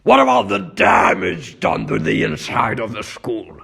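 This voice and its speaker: A gravel-throated, blustering newspaper boss from a comic book. He is pompous and demanding, with a hard, forceful edge and a slow, weighty delivery. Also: medium pitch, huge pitch swings, a little hiss or hum, exaggerated voice. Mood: outraged. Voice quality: raspy, gravelly. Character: blustering middle-aged male newspaper boss, suspicious and miserly. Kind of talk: comic book dub acting.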